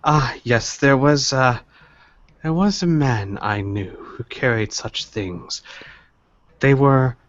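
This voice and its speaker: A man voicing a polite, deliberate old wolfen tea-house keeper who deals in shady goods in a tabletop game. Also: mid-range voice, no echo, dull audio, lightly acted. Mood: measured, shrewd. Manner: deliberate, courteous, musing with drawn-out pauses.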